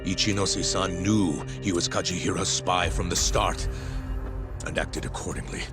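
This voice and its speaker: A male actor as a guilt-ridden, cornered official in a video game cutscene. He has a very deep voice and a grave, formal way of speaking. Loud guitar-led music runs underneath. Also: steady tone, normal speed, close to an everyday voice. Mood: confessing, bitter.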